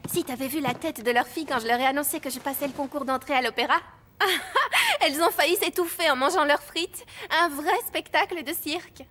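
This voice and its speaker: Cartoon casting: outspoken young heroine, high voice, cheeky and spirited. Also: female voice, fairly bright tone, a little hiss or hum. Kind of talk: cartoon voice acting.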